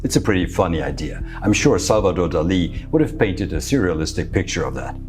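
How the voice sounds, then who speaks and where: Male presenter, native Serbo-Croatian speaker, very low voice, measured scripted delivery, faint music under it. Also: sing-song, a little mellow. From Serbia. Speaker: futurist presenter. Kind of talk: scripted talk to camera.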